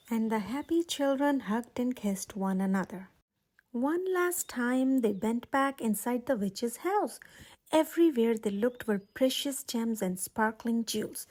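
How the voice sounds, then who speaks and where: A woman's voice: a little high, a little mellow. A woman storyteller reading a children's picture book aloud as scripted narration. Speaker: storyteller, channel host.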